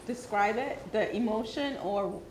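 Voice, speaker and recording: A woman in the audience describing a personal drawing in a hesitant, halting way. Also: mid-range voice, slight background noise. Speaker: audience member. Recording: roomy hall audience mic.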